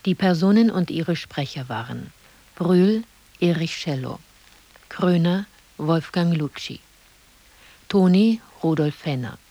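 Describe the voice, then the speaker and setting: A woman's voice: on the low side, animated, takes it slowly, dark tone. A woman radio announcer reading scripted broadcast credits in a formal manner.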